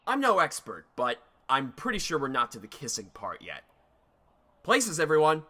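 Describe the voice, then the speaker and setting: A man's voice: on the high side, wildly swooping pitch, normal speed, pushed and bright, heavily acted. A man playing a cocky, joking young friend in an audio drama, playful and theatrical. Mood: teasing.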